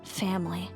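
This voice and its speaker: A weary fae woman speaks in solemn, measured phrases. She is guarded and bitter, a fatalistic seer from a video game, with faint music behind her. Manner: measured, cryptic, solemn speech. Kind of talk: dramatic video game voice acting.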